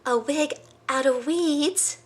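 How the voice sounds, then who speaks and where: Woman reader as a casual zebra, bright high voice. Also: slow. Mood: breezy. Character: breezy, noncommittal zebra.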